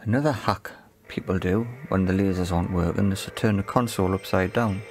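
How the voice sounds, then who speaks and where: Guy with a lowish creaky voice talking thru a repair, kinda halting n matter of fact. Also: fairly even intonation, a little hiss or hum. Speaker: repair video presenter. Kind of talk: casual hands-on tech repair explanation.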